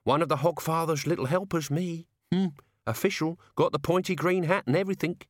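A man voicing a crusty old male servant with a sly, wheezy, rough way of talking. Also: a little high, brisk, no echo, studio quality, voice pushed up, natural voice.

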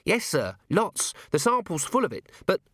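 A man's voice: sits high, huge pitch swings, normal speed, fairly bright tone, no echo, clean studio sound, voice pushed up, full character voice. A man reading an audiobook, voicing a young earnest female dwarf recruit who speaks eagerly and nervously.

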